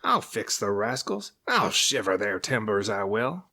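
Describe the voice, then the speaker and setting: A gruff, big-hearted sea monster played by a man reading aloud from a children's storybook. He grumbles and mutters to himself, protective underneath it all, in a fairly low male voice. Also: big pitch swings, a little slow, pushed and bright, heavily acted. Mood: indignant.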